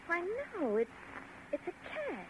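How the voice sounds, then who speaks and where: An actress playing a young college student in an old radio horror drama, brisk, wisecracking and dismissive. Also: female voice, sits high, wildly swooping pitch, full character voice. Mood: frightened. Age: young adult. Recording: noisy vintage radio recording.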